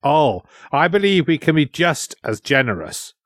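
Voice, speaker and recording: A man's voice: on the high side, moderate pace, no room sound, studio quality, pitched above the performer's own voice, natural voice. Man as a taunting warrior herald. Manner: taunting, declamatory. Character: taunting young warrior translating a conquering king's demands.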